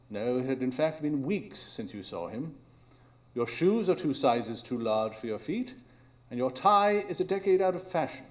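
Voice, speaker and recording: A man's voice: mid-range voice, a little mellow, lightly acted. A man playing a brilliant, coolly analytical detective with a formal, precise manner in a live radio drama. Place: small improv theatre stage.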